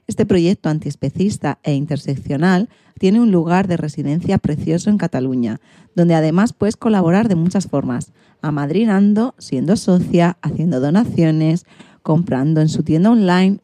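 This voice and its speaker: A woman's voice: deep voice, animated, moderate pace, dark tone, no room sound. A woman with a Spain Spanish accent, presenting a scripted magazine radio show as its host.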